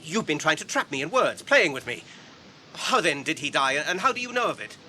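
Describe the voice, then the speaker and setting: A polite guarded english hobbit in a radio play, bright voice, measured and articulate, bit of background noise. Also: male voice, a little high, very animated, full character voice. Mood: indignant, alarmed. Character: earnest, courteous hobbit traveller, guarded and dignified. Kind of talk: BBC radio drama acting.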